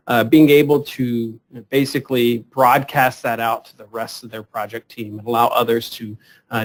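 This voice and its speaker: A man hosting a webinar, introducing a product in a halting way with many fillers and repeated words. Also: medium pitch, poor audio. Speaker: webinar host. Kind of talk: semi-scripted product introduction.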